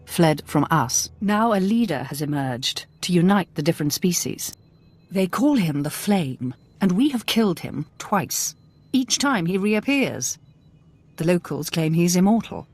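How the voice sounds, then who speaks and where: A woman's voice: lowish voice, sing-song, unhurried, a little mellow. An actress playing a composed female imperial intelligence officer in a video game, giving briefings in a grave, clipped manner. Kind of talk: video game character acting.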